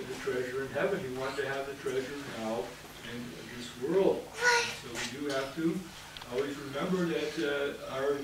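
A man in his fifties, a priest giving a sermon in a halting, hesitant manner with repeated phrases. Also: mid-range voice. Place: indoors at a church pulpit or lectern, reverberant. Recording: distant mic in echoey room, clear background noise.

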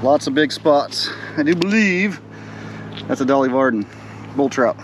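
A man in his forties with an ordinary mid-pitched voice talks outdoors by a river, picked up by a camera set a few steps away. He keeps up a casual running chat to his viewers and turns eager and urgent when coaching a child to reel in. A faint outdoor hum sits behind him. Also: unhurried. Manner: excited, urging a child to reel in; chatty asides to viewers. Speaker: fishing vlogger, father. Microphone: camera on the bank, a few steps away.

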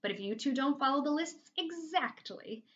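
A woman voicing a gloating, smug male school principal with a mocking, drawn-out delivery, in a storybook reading. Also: sits high, lively intonation, fairly bright tone, highs cut off, pitched above the performer's own voice.

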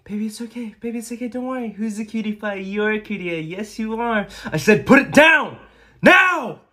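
A man's voice: mid-range voice, lively intonation, a little fast, husky. A man playing a crime boss boyfriend in a roleplay skit, doting on his girlfriend with baby talk and menacing toward everyone else. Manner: switches between sugary baby talk and cold threats.

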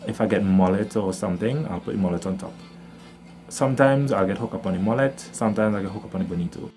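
A young man with a Trinidad and Tobago accent talks through his fishing kit, his voice sitting low. He's casual and chatty, tagging lines with "right?", filmed indoors close to the camera. Soft music plays faintly underneath. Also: fast talker. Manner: chatty, explains with "right?" tags and fillers. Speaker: fishing vlogger. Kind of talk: casual gear walkthrough to camera. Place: indoors by wooden doors.

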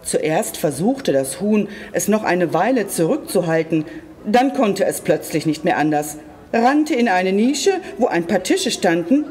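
A storyteller reading a children's tale aloud expressively and deliberately, addressing young listeners directly. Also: medium pitch, big pitch swings, darkish tone.

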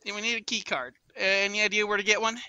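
A tabletop role-player with a bright voice in a dry recording. The talk is casual banter, loose and sweary. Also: medium pitch, little pitch movement, quick pace, clear, resonant tone, no room sound, dull audio.

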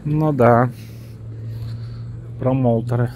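A man's voice: mid-range voice, very slow, narrow, tinny audio. Man on a street walk, tired, talking casually, audible city noise around him. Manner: relaxed, slightly weary, searching for words. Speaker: vlogger. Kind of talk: casual walking chat. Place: evening pedestrian street outdoors.

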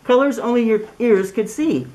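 A middle-aged woman reading a children's story aloud through a cloth mask in an expressive, deliberate way. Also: on the high side, low-quality recording. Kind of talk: read-aloud storybook narration. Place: small indoor classroom. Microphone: camera a few feet away, speaking through cloth mask.

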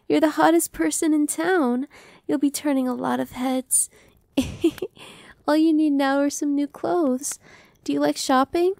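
A friendly young vampire girl, played by a woman, with a high voice and a mellow, warm tone. She talks softly and reassuringly, close to the mic in a dry room, and slips into playful whispers now and then. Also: steady tone, slow, vocal fry, no echo, exaggerated voice. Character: cheerful young female vampire, newly turned, friendly. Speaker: voice actress. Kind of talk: ASMR roleplay.

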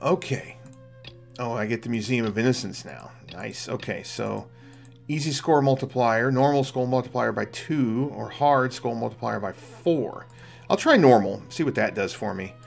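A middle-aged man giving casual gameplay commentary, thinking aloud in a gravelly voice. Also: mid-range voice, normal speed. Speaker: game streamer. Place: small indoor room at home. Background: faint game music.

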